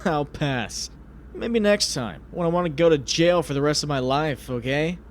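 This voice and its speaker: Guy doing an excitable jokey young superhero, high voice that swings all over, comic dub, noise behind. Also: moderate pace. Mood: wry narration. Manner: theatrical, joking, exclamatory. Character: wisecracking young male superhero, chatty, excitable, nervy. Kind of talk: comic dub narration and dialogue.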